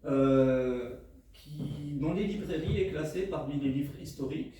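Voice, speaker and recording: A man's voice: fairly high pitch, unhurried, warm tone. A man whose first language is Arabic presents his book, speaking unscripted and hesitantly, with many fillers and restarts. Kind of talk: unscripted book talk to an audience. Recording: echoey room, mic at a distance, faint noise.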